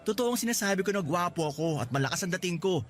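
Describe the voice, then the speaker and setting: A cheerful young coworker who cracks jokes all the time in a radio drama. His voice sits a little high and swings lively up and down as he teases and brags in jest. Faint music plays behind him. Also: quick pace. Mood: teasing. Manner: playful, teasing, boastful in jest. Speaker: Lester.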